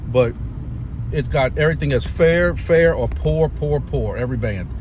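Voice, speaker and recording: A man's voice: medium pitch, normal speed, a little mellow. A man chatting casually in a rambling, easygoing way, often restarting his sentences, while recording a ham radio vlog outdoors on the coast. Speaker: ham radio operator vlogger. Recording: wind and vehicle noise outdoors.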